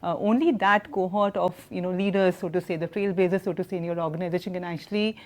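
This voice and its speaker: An Indian woman speaks very quickly in a slightly low voice. She explains things as a guest expert in a conversational, emphatic way, adding small asides as she goes. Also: steady tone.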